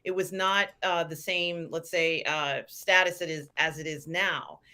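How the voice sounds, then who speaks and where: A middle-aged woman co-hosting a show, chatting reflectively in a measured, deliberate way with pauses. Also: sits low, steady tone, sharp tone. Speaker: co-host of a fan live show. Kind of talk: casual reflective chat.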